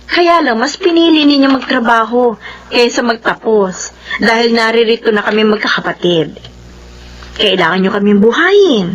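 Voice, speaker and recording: A woman's voice: a little high, expressive, moderate pace, muffled recording. A woman playing a devoted grown daughter in a drama, earnest and persuasive. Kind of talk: Filipino drama acting.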